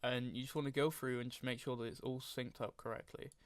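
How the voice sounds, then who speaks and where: Man giving an informal, dry-sounding software tutorial. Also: medium pitch, flat delivery, quick pace, no echo. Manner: informal, hesitant, thinking aloud; frequent throat clearing. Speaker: tutorial host. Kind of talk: casual software tutorial narration.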